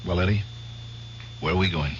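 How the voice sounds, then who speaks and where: An actor in his thirties playing a troubled Korean War veteran in a 1970s radio drama, halting and uneasy. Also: sits low, natural voice. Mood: resolute. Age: 30s. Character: haunted Korean War veteran man near forty. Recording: dull old radio broadcast with hiss.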